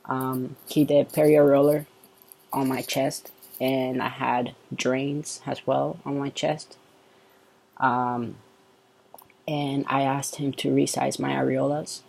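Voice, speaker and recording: A man with a soft, androgynous-sounding voice, talking through a casual update vlog in a relaxed, unhurried way. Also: mid-range voice, gravelly, rough recording. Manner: relaxed and unhurried, with frequent ums. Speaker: vlogger.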